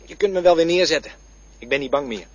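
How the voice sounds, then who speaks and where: An actor in a radio drama playing a man who vividly recalls his boyhood by the river, with a husky voice. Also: fairly high pitch, expressive, fast talker. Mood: wistful. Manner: vivid storytelling. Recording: dull lo-fi radio play recording.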